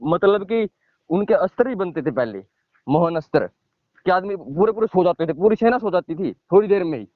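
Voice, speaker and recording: High-pitched man explaining emphatically. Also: expressive, normal speed, no echo. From village in India. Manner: emphatic, repetitive, checks listener with short tag questions. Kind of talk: informal explanatory phone talk. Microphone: phone call. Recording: dull lo-fi phone call recording.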